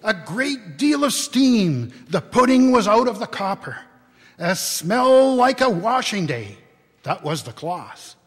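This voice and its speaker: A man reads a story aloud with theatrical, deliberate phrasing. His voice sits a little high and has a husky edge. The sound rings through a large church hall with long reverb. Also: sing-song. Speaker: reader at a dramatic reading. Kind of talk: dramatic storybook reading to a live audience. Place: large church, live public reading.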